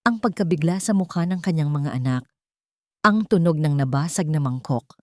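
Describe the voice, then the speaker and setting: Female narrator, lowish voice, emotive audio-drama storytelling, close and dry recording. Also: lively intonation, moderate pace, dry recording.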